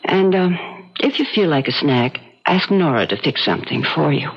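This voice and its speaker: An actress playing a devoted, gently insistent wife in an old-time radio drama, with coaxing delivery. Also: female voice, sits low, animated, normal speed, slightly rough, highs cut off, heavily acted. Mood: gently reassuring.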